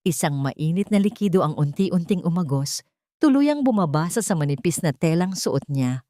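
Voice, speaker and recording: Low-voiced woman narrating a melodrama. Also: lively intonation, normal speed, mellow, dry recording, studio quality. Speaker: narrator of dramatic stories. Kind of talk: scripted dramatic story narration.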